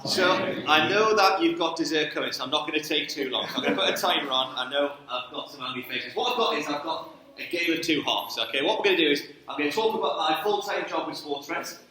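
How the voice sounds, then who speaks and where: Man, Geordie accent, thirties, chatty church talk, bright voice, very echoey hall. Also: fairly high pitch, fast. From Newcastle, England. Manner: lively and chatty, checks in with "okay?". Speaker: guest speaker, sports ministry director.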